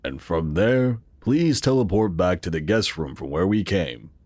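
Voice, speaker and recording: An actor playing the earnest, calm founder of a futuristic city in a sci-fi audio drama, explaining things in a measured, formal way. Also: male voice, low voice, breathy edge, dry recording, exaggerated voice. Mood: instructive.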